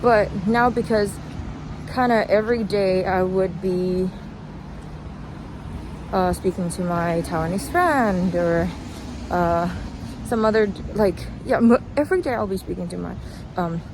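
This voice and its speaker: A woman's voice: on the low side, a little slow, pauses often. A young woman chatting casually as she walks, self-deprecating, wandering off on tangents and trailing off. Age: young adult. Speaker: vlogger. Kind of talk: casual rambling vlog while walking. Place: outdoors on a walk in a city. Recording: noisy outdoor recording with traffic.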